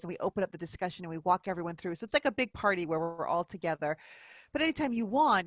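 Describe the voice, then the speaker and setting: A woman's voice: fairly low pitch, no echo. Middle-aged woman guest, upbeat explainer, dry close sound. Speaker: guest author. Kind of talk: interview talk.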